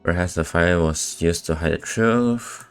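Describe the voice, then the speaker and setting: A male game streamer with a low voice. He drops short, offhand comments in a casual live-reaction style, with faint game music behind him. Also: unhurried. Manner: brief, offhand remarks.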